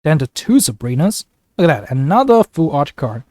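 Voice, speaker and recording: A man's voice: on the high side, very animated, normal speed, darkish tone, no room sound, clean studio sound. A man with a flu, casually commentating a card game video in an upbeat, chatty, joking way. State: congested from a flu. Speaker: gaming YouTuber.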